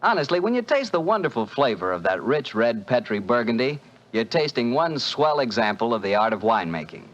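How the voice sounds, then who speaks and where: Lively upbeat 1940s radio announcer man. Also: medium pitch, fairly quick, highs cut off. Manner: upbeat, salesy. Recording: old 1940s radio recording.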